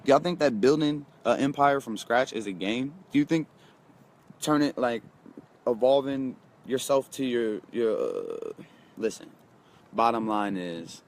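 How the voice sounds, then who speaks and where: Man in his twenties, motivational vlogger, emphatic and repetitive, mid-pitched voice. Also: very animated, a little slow. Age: 20s. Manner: emphatic, repeats lines and talks straight to viewers. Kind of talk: casual motivational monologue.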